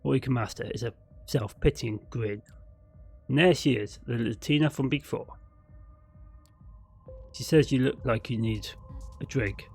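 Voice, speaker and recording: A man with a medium-pitched voice narrates a visual novel. He reads scripted prose in a dramatic storytelling manner, occasionally tripping on a word. Soft music plays faintly behind him. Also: unhurried, creaky, pauses often, breathy edge. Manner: dramatic storytelling with occasional stumbles over words. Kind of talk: scripted narration read aloud.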